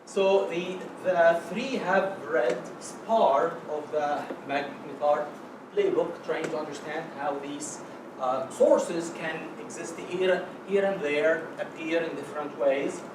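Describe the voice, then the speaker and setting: A man from Egypt giving a scientific conference talk, halting and full of fillers and restarts. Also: fairly high pitch, noisy background. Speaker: conference speaker. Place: conference lecture hall. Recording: echoey lecture hall, mic at a distance.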